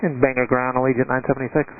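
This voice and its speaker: A man's voice: mid-range voice, monotone, very fast. A man, an airline captain, talking politely and conversationally over aviation radio. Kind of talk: informal pilot radio communication. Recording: tinny aviation radio with static.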